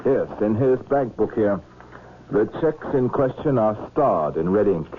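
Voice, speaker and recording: An actor playing a composed murder investigator, asking measured, formal and insistent questions in a vintage radio drama. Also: male voice, lowish voice, expressive. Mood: pointed, formal. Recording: muffled, noisy old radio recording.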